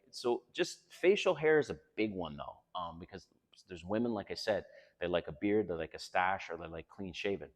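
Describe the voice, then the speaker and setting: A man's voice: sits low, in a large hall. An older man from Canada with a Canadian accent, giving blunt, frank dating advice straight to his viewers in a casual monologue.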